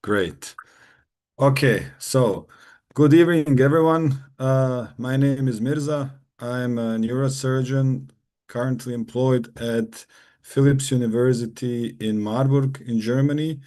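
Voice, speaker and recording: Man lecturing, warm dark voice. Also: mid-range voice. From Bosnia. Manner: measured, formal, with frequent "uh" pauses. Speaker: neurosurgeon giving a guest lecture. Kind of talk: academic presentation. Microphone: video call.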